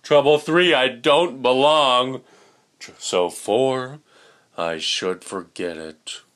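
A man voicing a gloomy, self-doubting young fish in a storybook, with drawn-out, dramatic complaints. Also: mid-range voice, fairly bright tone. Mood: woeful.

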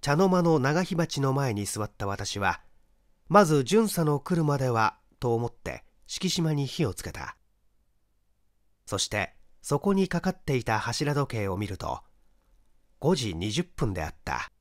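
A man reading a mystery story aloud as dramatic narration, measured and expressive with deliberate pauses. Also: medium pitch, no echo, studio quality. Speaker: story reader, stage actor.

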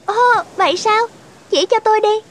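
A woman voicing a sweet, innocent young maiden in a cartoon fairy tale, polite and gentle in manner. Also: very high-pitched, normal speed, a little hiss or hum. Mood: eager. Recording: loud music under the voice.

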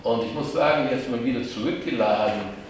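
A man, musing slowly and wryly as a guest author in a café discussion, often correcting himself. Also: mid-range voice, slight background noise. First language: German. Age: 80s. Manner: slow, musing, self-correcting, wry. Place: crowded café, indoors. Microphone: distant camera mic. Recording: echoey room from far off.

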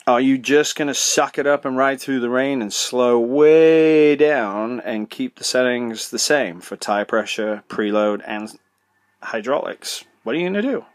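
A middle-aged man talks straight into a close podcast microphone, practical and direct, asking questions and answering them himself. His voice sits at an ordinary male pitch. The recording is dry, with no room sound. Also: unhurried, dry recording. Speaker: podcast host, motorcycle suspension tuner. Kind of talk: solo podcast advice talk.

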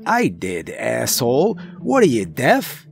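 A cheeky male skunk, played by a male narrator in a story, speaking with a heavy accent. He is rude, indignant and sarcastic, a brash wise guy whose pitch jumps all over. Faint music sits behind him. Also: wildly swooping pitch, slow pace, creaky, heavily acted. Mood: rude, mocking. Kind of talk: audiobook character voice.

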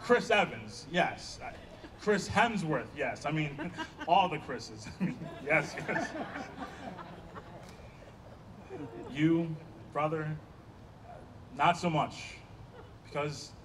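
High-pitched, exasperated man acting on stage. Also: lively intonation, takes it slowly, long pauses. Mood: sardonic, then tender, earnest. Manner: emphatic, sarcastic, sharp-tongued. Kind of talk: staged play reading. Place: small outdoor stage by a block wall. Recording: distant outdoor stage pickup, faint ambient noise.

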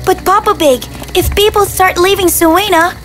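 A woman voicing a curious, worried young village boy in an animated storybook, earnest and exclamatory. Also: very high voice, moderate pace. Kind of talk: animated storybook character acting. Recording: music behind the voice.

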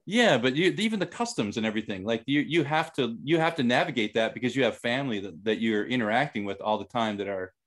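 Easygoing podcast co-host in his thirties, mid-pitched voice, thinks out loud and trails off. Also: lively intonation, quick pace, hardly any pauses. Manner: rambling, searching for words. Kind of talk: casual chat.

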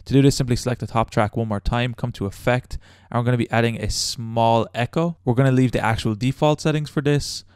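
A man's voice: medium pitch, fairly even intonation, fairly quick, hardly any pauses, darkish tone, clear, resonant tone, no echo, studio quality. A young man hosting a casual step-by-step tutorial as a voice-over. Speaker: tutorial host.

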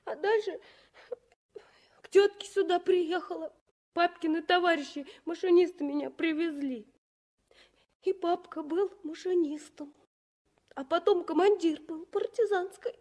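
A woman in a 1960s radio play, playing an orphaned little girl with a small, halting, childlike voice, plain-spoken and trusting. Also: very high voice, a little mellow. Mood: tearful, plaintive.

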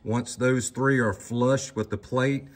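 A man's voice: mid-range voice, steady tone, slow. A man co-hosting a workshop demonstration, speaking briefly and matter-of-factly as he adds corrections, recorded in an open barn. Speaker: co-host. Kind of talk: casual how-to demonstration.

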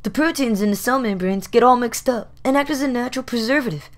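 A woman's voice: on the high side, fast, lightly acted. A woman voicing a sensitive, bookish young boy, a little brother, in an audio drama, speaking earnestly. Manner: earnest, rambling about facts.

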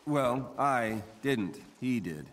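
A weary middle-aged American scientist from a video game. He talks in a dry, halting, understated way with plenty of movement in his medium-pitched voice. Ambient noise sits behind him. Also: expressive, fairly bright tone. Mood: dry, hesitant. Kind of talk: naturalistic video game acting.

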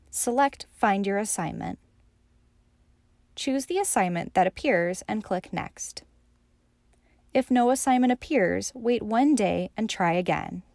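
A woman reading scripted training narration in a measured, instructional manner. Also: medium pitch, vocal fry, dry recording, studio quality.

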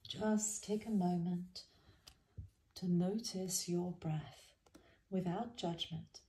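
A woman leading a guided meditation in a gentle, soothing, unhurried way with soft phrase endings. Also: on the low side, fairly even intonation. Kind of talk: guided body scan meditation.

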